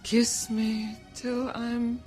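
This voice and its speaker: A woman's voice: on the high side, little pitch movement, extremely slow, darkish tone. An actress playing a dying, drugged mother in a radio drama, crooning in a dreamy, slurred way. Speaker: Linda. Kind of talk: 1990s radio drama acting. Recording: radio drama with music underneath.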